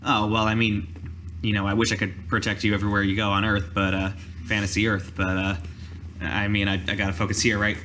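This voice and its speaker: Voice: sits low, little pitch movement, brisk, a bit bright. Game master playing a friendly villager in a tabletop game, breezy and dismissive, faint noise behind. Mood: reassuring.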